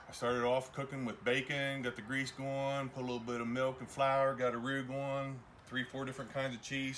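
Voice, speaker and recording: A man's voice: mid-range voice, bright, forceful tone. A man in his forties hosting a home cooking vlog, chatting in a folksy, joking, plainspoken way. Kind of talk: casual cooking show chat. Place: outdoors by a smoker in wind and snow. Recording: distant phone audio with wind noise.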